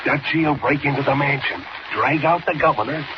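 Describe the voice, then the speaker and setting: A man's voice: medium pitch, a little fast, close to an everyday voice. Guy acting a tough impatient 1940s radio gangster, slangy wise guy, muffled hissy old recording. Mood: scheming, confident. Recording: dull, noisy old radio transcription.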